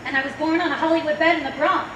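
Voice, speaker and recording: A woman acting a brash, quick-talking young dancer on a stage, with sharp sassy retorts. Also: high voice, steady tone, close to an everyday voice. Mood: defiant. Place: theater stage. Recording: echoey stage from far off, noisy.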